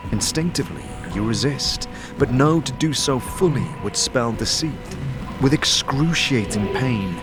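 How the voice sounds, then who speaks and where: A man narrating a fantasy audio drama in the second person, with vivid, suspenseful storytelling. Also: medium pitch.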